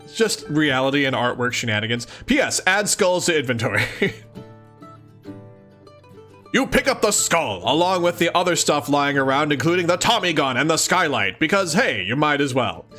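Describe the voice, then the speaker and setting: Guy doing a lively read-along, kinda high voice, giggly and chatty, music in the background. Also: normal speed. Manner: giggly, expressive, dramatic reading mixed with chatty asides. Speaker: host of a live let's-read of a webcomic. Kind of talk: animated read-aloud with casual commentary.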